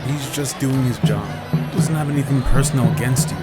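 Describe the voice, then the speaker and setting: A man plays a calm, caring young advisor in an anime audio drama. He sounds soft-spoken and reassuring at a middle pitch, with clearly audible music behind him. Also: a little fast, a crowd in the background, natural voice. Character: calm, gentle young husband, ninja advisor to village leader.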